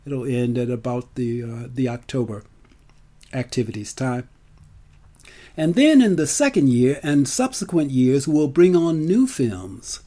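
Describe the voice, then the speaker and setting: A man giving an unscripted promotional talk in an emphatic, deliberate way, stretching and repeating key words. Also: mid-range voice, lively intonation, mellow. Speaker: storyteller and film festival organizer.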